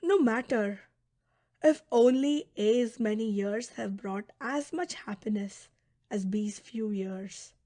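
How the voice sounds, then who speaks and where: A woman reading a philosophical audiobook in a measured, deliberate, formal manner. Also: on the high side, mellow, no room sound, clean studio sound. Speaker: audiobook narrator. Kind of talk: scripted narration of a philosophical text.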